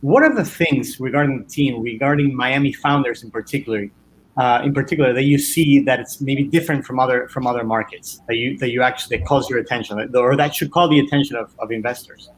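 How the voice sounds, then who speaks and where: A man's voice: medium pitch, fast talker, a little hiss or hum, poor audio. Conversational male moderator. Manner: conversational, searching for words. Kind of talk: panel discussion.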